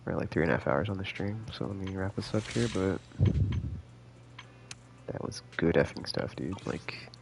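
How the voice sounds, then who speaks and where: A man chatting casually on a live game stream in a laid-back, slangy, rambling way, thinking out loud, with a gravelly voice. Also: sits low, moderate pace, pauses often, background noise. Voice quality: gravelly, creaky.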